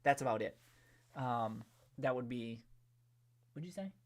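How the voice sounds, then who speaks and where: A man chats casually on his livestream, greeting viewers and answering their questions. He rambles and restarts, stacking up fillers as he thinks aloud. His pitch sits squarely in the middle range. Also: lively intonation, a little slow. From New England. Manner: loose and chatty, many restarts, hums a tune. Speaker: livestream host. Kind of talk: casual livestream chat reading viewer comments.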